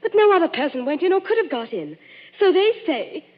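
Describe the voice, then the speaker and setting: A frightened young woman pleads breathlessly in an old radio drama. Her voice sits high and swings wildly. The broadcast sounds muffled with a long hall reverb. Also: very animated, fairly quick. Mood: frantic. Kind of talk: 1940s radio acting.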